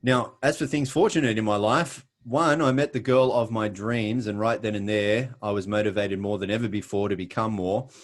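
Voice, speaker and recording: A man's voice: fairly low pitch, steady tone, moderate pace, few pauses. A middle-aged Australian man giving an earnest, emphatic motivational presentation with self-deprecating humor.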